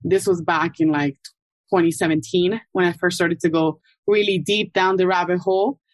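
A woman from Ecuador, a guest calmly explaining her background in an interview. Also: fairly low pitch, normal speed, dry recording. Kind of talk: casual interview answers.

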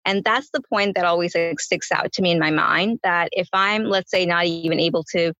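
A woman with a fairly low voice, whose first language is Gujarati, speaks reflectively and conversationally. The sound is close and dry, slightly dull. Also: moderate pace, pushed and bright, no room sound. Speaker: toy company founder. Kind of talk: interview answer.

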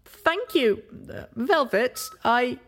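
A man's voice: very high-pitched, lively intonation, takes it slowly, a bit bright, voice pushed up, exaggerated voice. Little Pip, a man voicing a pleading young mare. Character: young female unicorn, earnest, guilt-ridden.

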